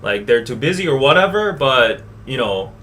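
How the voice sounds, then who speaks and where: A man hosting a casual podcast conversation, explaining things in a measured, conciliatory way. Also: mid-range voice, big pitch swings, bright, forceful tone, soft background music. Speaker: podcast host. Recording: faint background noise.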